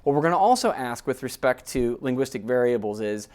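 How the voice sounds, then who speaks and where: A man lecturing in a relaxed, conversational way, explaining ideas step by step and often checking in with his listeners. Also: mid-range voice, a little fast. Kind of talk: academic lecture.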